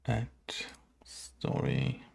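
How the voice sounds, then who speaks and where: A man talking casually to himself as he works through code, muttering in a halting, unscripted way, as a game developer recording his screen work. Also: a little mellow, slight background noise. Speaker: game developer making a tutorial video. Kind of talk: casual thinking aloud while coding. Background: keyboard typing and clicking.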